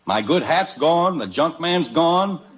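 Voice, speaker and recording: Husky, blustering radio sitcom dad. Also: male voice, a little high, animated, slow pace, full character voice. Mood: exasperated. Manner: blustering, indignant. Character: exasperated, stubborn suburban husband and father. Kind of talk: 1950s radio sitcom acting. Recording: dull vintage radio recording.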